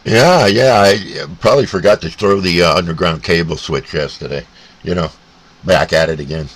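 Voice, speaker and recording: A North American man chatting casually as a CB radio operator, in a halting, laid-back way. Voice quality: deep. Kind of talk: casual two-way radio chat. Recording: faint static and radio hiss.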